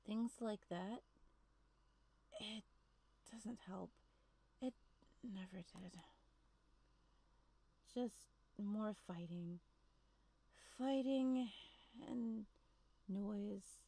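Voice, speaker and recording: A woman playing a story game on stream, reading and commenting in a halting, muttering way. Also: medium pitch, dark tone, low-quality recording. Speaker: streamer playing a horror visual novel.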